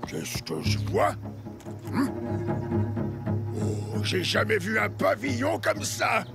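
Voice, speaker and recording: A man playing a greedy, blustering, theatrical pirate villain in an animated cartoon. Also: sits high, slow, heavily acted. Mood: gloating, coaxing. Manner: scheming, exclaiming, theatrical. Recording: loud background music.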